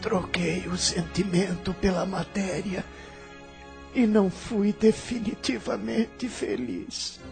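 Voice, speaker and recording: A man's voice: sits high, slow, gravelly, music in the background, exaggerated voice. An actor playing a remorseful, broken male vagrant in a theatrical, lamenting Brazilian radio drama style. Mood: wistful regret. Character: remorseful, broken male vagrant recalling his lost life.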